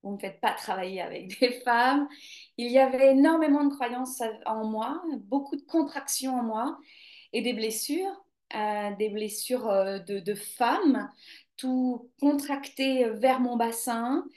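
A woman's voice: on the high side, slow, darkish tone, reverberant. A woman yoga teacher hosting a casual live stream, chatting spontaneously with viewers and hesitating often. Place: home near the coast.

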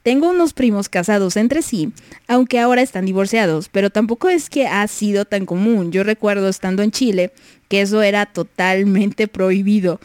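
A woman's voice: mid-range voice, normal speed, a little mellow, dry recording, clean studio sound. A young Mexican woman hosting a livestream, chatting casually, reading messages and giggling often. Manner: giggly, chatty, many fillers. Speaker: livestream host.

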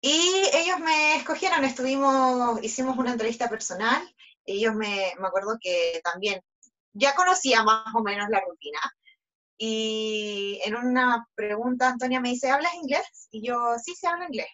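A young woman with a Chilean accent talks at a slightly high pitch, chatty and full of fillers. Her voice comes through dull and lo-fi, as over a video call. She is a pageant guest recounting her experience. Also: moderate pace. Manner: lively, chatty with many fillers. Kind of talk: interview storytelling.

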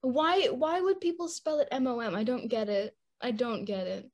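A woman's voice: fairly high pitch, normal speed, darkish tone. A young woman chatting casually with a friend, teasing her playfully. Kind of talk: casual chat.

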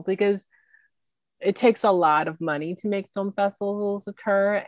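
Earnest woman with a low, dry voice. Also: no room sound. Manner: earnest, expressive, tag questions. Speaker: film festival organizer. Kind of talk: podcast interview answers.

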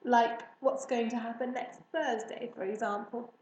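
A woman's voice: on the high side, steady tone, moderate pace, a little mellow, roomy sound, highs cut off, a little hiss or hum, lightly acted. Woman voicing a smug, breezy magical hare. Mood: teasing.

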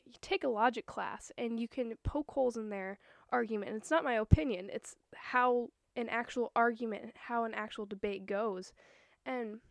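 A young woman hosting her own podcast, talking in a chatty, earnest way with a voice that is hoarse from a cold. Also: fairly high pitch, no room sound, studio quality. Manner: earnest, chatty, a little nervous. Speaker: podcast host. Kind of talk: casual solo podcast talk.

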